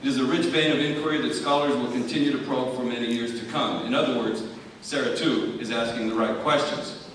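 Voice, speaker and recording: A male high school teacher delivering a prepared award speech in a measured, articulate, formal way with dry wit. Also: fairly even intonation, fairly bright tone. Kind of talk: prepared speech, wryly humorous tribute. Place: large hall at an awards ceremony. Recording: very echoey hall, far from mic, faint noise.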